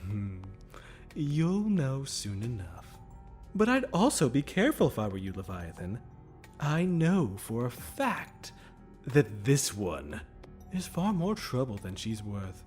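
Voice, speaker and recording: Casting: a teasing demon villain, slow and theatrical, swooping between silky flirtation and menace, over music. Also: male voice, medium pitch, sing-song, darkish tone. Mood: smug warning. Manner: languid, teasing, drawn-out phrasing with pet names. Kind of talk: audio drama acting.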